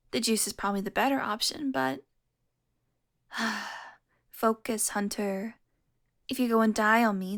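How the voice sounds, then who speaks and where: A woman playing a seductive, teasing vampire who is smugly tender toward her prey, in an intimate audio roleplay with breathy, slow murmuring. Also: on the high side. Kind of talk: intimate audio roleplay, addressed to one listener.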